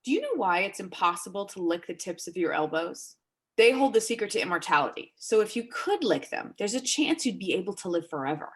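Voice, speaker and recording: A woman's voice: medium pitch, sing-song, brisk, lightly acted. A woman performing a theatrical monologue as a whimsical character earnestly explaining odd theories.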